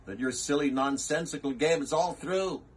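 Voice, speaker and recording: A man's voice: on the high side, normal speed, breathy edge. A man voicing a big, bossy female kangaroo from a storybook, snappish, haughty and scornful.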